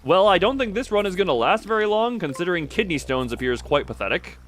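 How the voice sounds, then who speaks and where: A man giving casual live commentary on a game as he streams, thinking out loud and often breaking off mid-sentence. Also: sits high, sing-song, fast, soft background music.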